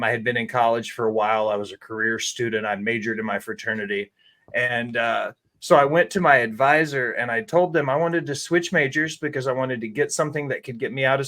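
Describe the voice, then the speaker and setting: A man's voice: medium pitch, a bit bright. Man, 30s, chatty guest chef, candid storyteller, salty language. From Tennessee. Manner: candid, rambling storyteller who swears freely. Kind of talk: casual interview talk.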